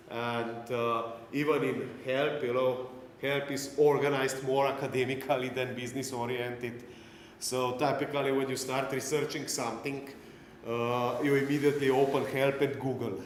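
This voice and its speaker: A middle-aged man from Slovenia, with a Slovenian accent, speaking English as a second language and giving a technical conference talk with dry self-deprecating humor and frequent "uh" fillers. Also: mid-range voice. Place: large conference hall. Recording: echoey hall with faint noise.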